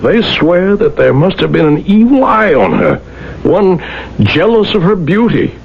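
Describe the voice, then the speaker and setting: An actor playing a gentle, devoted merchant husband, sorrowful and earnest, in a 1970s radio drama. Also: male voice, high voice, big pitch swings, measured pace, gravelly, breathy edge, exaggerated voice. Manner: earnest, emotional, pleading. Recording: dull, noisy old radio recording.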